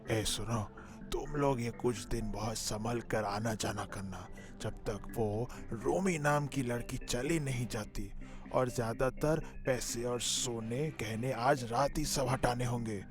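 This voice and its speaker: Scheming, furtive crooked servant for a cartoon man acting, mid-pitched, over prominent music. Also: takes it slowly, lightly acted. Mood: furtive, worried. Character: scheming male servant, secretly a thief and smuggler. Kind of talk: Hindi cartoon story voice acting.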